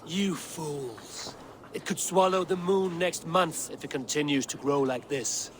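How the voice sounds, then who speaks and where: A man's voice: a little high, a little slow, a little hiss or hum, natural voice. A man playing a stern, weary Norse god-king in a dramatic video game, speaking with portentous authority. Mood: scornful.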